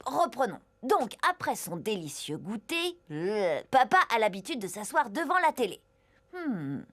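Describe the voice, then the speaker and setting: A woman's voice: on the high side, sing-song, unhurried, fairly bright tone, exaggerated voice. A woman voicing a self-assured young girl leading an investigation, in a French cartoon dub. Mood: determined. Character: determined young girl detective, bossy.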